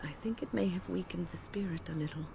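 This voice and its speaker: An actress playing an earnest, gentle mage in a fantasy video game, speaking politely and formally with dry self-deprecation. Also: female voice, on the low side, fast, vocal fry, background noise. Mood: weary.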